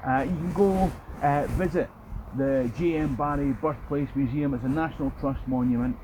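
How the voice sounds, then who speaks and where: A man's voice: fairly high pitch, moderate pace, mellow. Scottish male history guide for a travel video, conversational delivery with a wry touch. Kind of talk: history travel storytelling to camera. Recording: noisy outdoor location, traffic noise.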